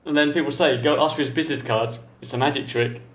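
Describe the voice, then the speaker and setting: A man hosting a podcast interview, talking loudly and rambling freely. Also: medium pitch, fast. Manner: loud, lively, rambling. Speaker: podcast host. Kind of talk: casual interview chat. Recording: echoey room.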